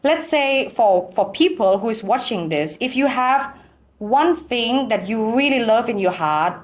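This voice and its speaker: A woman's voice: a little high, lively intonation, measured pace. A woman from Thailand, a podcast guest speaking emphatic, encouraging, simple in a candid conversation. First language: Thai and German. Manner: emphatic, encouraging, in simple non-native English.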